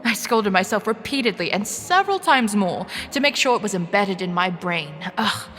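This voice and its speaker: A woman playing a sardonic, wary young woman short of money in an audio drama, with wry, expressive inner monologue. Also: mid-range voice, moderate pace, long reverb tail. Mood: wry, awkward. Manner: wry, expressive, with sighing interjections. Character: young cash-strapped woman, sardonic, wary, inwardly flustered. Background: music.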